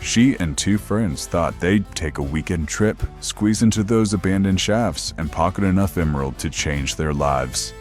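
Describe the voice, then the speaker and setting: Eerie late-night radio host, very low voice, wry and ominous horror narration over prominent music. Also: male voice, unhurried, few pauses. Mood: ominous storytelling.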